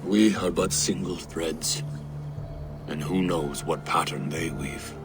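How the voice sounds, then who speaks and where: Very deep, grieving Norse berserker. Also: male voice, music in the background. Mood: resigned. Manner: slow, solemn, poetic. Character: grieving, aging Norse berserker warrior, solemn and weary.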